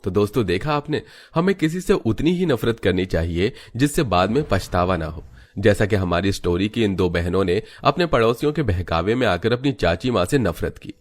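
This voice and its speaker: A man's voice: lowish voice, animated, moderate pace. A man narrating a scripted moral story as a storyteller.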